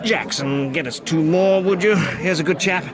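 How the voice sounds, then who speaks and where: A man's voice: on the high side, moderate pace, natural voice. An actor in a radio drama playing a brash, boastful young man-about-town, teasing and affectedly chummy. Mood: jovial, teasing. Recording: busy pub crowd behind.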